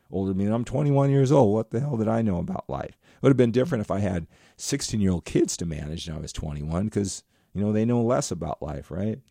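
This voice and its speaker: Man, deep gravelly voice, middle-aged, rambling earnest monologue, dark tone, dry close sound. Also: no room sound. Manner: rambling, earnest, addresses viewers directly. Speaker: motivational vlogger. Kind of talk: casual motivational monologue.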